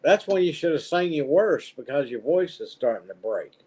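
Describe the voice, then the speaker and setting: A man speaking casually as the host of a relaxed conversational show. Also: on the high side, moderate pace, breathy edge. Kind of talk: casual chat.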